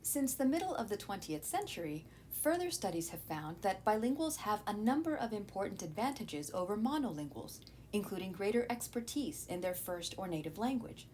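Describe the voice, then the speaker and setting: A woman narrating a scripted educational video as a teacher, explaining clearly. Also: sing-song, moderate pace, hardly any pauses, a little mellow. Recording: noticeable low rumbling background noise.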